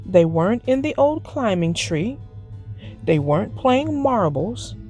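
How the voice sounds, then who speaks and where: A woman narrating a children's read-aloud as storyteller, expressive and deliberate. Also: mid-range voice, faint music behind.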